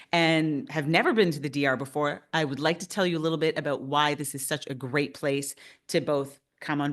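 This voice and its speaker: Upbeat low-voiced Canadian woman presenting. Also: fairly bright tone. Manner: upbeat and enthusiastic. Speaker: realtor hosting a webinar. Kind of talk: presenting a webinar.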